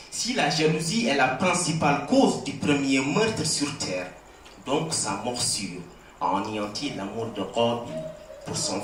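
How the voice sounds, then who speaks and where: A man's voice: on the high side, fairly bright tone. A man reciting slam poetry in a solemn, impassioned, declamatory manner. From Mauritania. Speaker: slam poet. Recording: very echoey, distant mic, faint noise.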